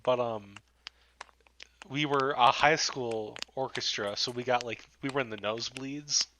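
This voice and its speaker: A young American man co-hosting a podcast, telling halting anecdotes in casual chat with friends over a slightly noisy mic. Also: mid-range voice. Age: young adult. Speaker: podcast co-host.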